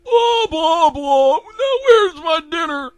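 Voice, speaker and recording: A man's voice: extremely high pitch, animated, takes it slowly, no echo, pitched above the performer's own voice, heavily acted. A man voicing a cranky, abusive old cartoon farmer who bellows blame in whiny outrage. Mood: grumpy.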